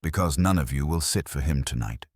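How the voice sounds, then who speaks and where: Man voicing a deep, commanding master detective. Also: brisk, husky, no room sound, clean studio sound. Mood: firm, commanding. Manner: formal, precise, authoritative. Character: commanding, shrewd male master detective, formal and unhurried. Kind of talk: detective audiobook character voice.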